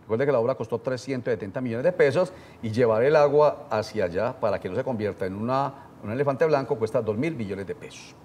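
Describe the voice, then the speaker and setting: Guy hosting a talk show, measured, slows down to hit the numbers. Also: mid-range voice, animated, darkish tone, slight background noise. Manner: measured questioning, slows to stress facts. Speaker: host. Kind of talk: public affairs interview.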